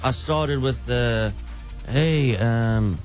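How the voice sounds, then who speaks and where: A middle-aged man with a South African accent spins an animated story on morning radio. He keeps restarting his sentences as he talks into a studio microphone, with faint music running underneath. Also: medium pitch, extremely slow. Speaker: radio show host, prank caller. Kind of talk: casual breakfast radio banter.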